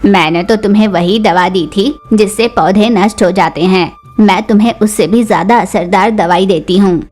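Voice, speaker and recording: A woman's voice: medium pitch, normal speed, a little mellow, faint music behind, voice pushed down, natural voice. A woman voicing an accommodating, curious female crow shopkeeper with a puzzled, questioning manner in a cartoon story.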